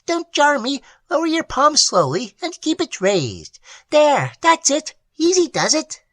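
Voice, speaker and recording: Tiny wheedling male troll, as a woman puts him on, shrill and tinkling, wildly swooping pitch. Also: very animated, unhurried, dry recording, clean studio sound. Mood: pleading.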